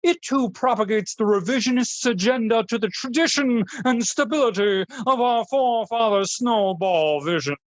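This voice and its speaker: Pompous aristocratic connoisseur played by an actor for comedy radio: florid, haughty, very animated delivery, dry studio sound. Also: mid-range voice, dry recording, natural voice. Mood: indignant. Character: pompous aristocratic snobbish New Orleans snowball connoisseur host. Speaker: host of a food radio show. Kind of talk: parody radio show monologue.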